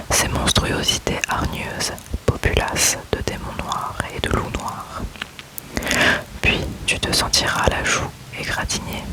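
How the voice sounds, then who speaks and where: Slow whispering man reading poems. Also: poor audio. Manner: slow, soft unvoiced whisper throughout. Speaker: ASMR creator. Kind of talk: whispered ASMR poetry reading.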